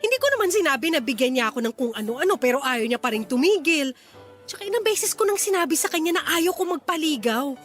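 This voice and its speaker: A woman's voice: sits high, expressive, fast talker, fairly bright tone, faint music behind. A woman acting an irritated, picky young single mother with blunt, huffy retorts in a Filipino radio drama. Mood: dismissive.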